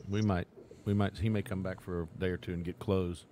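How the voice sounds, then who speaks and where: A man's voice: low voice, a little fast, slight background noise. Folksy West Virginia radio host, man. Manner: folksy, chuckling, lively intonation. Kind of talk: casual morning radio chat.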